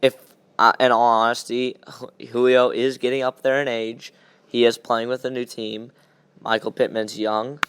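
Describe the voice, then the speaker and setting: A man's voice: medium pitch, level tone, moderate pace, a bit bright, clear, resonant tone, no room sound. A young man hosting a podcast, chatting casually and giving blunt opinions on sports. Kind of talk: casual sports chat, game predictions.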